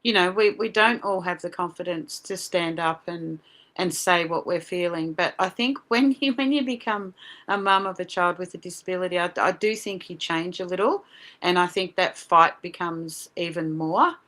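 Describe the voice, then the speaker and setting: A middle-aged woman with an Australian accent, speaking as a podcast guest in an earnest, animated way with many restarts. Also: on the low side, moderate pace. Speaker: guest, parent advocate. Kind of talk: casual podcast interview.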